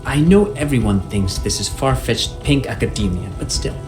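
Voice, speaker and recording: An actor playing an earnest, articulate police consultant who muses in an academic way, in video game dialogue with music behind him. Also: male voice, medium pitch, expressive, normal speed, close to an everyday voice. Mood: curious, musing. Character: earnest, intellectual male police consultant given to theorizing.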